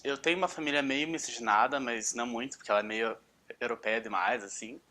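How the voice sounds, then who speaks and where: A man's voice: mid-range voice, fast talker, pushed and bright. A man speaking in a casual interview, somewhat hesitant with small restarts.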